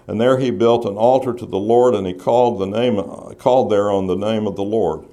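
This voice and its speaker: Mellow-voiced man teaching scripture. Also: on the low side. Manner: measured, teacherly, reasons aloud with the listeners. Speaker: pastor teaching the Bible. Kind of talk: expository Bible teaching, reading scripture and explaining it.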